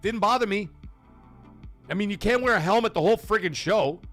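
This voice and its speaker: A man hosting a casual gaming live stream, rambling excitedly with fillers and restarts, greeting chat viewers and swearing casually. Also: high-pitched, a little fast, faint music behind. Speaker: livestream podcast host.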